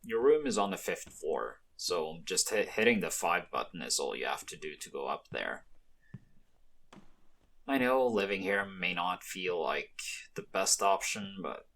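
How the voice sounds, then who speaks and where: A man playing a guarded, weary, quietly kind young mafia henchman in an ASMR roleplay, speaking low-key and hesitantly to the listener. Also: very animated, unhurried, heavily acted. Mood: matter-of-fact, guiding.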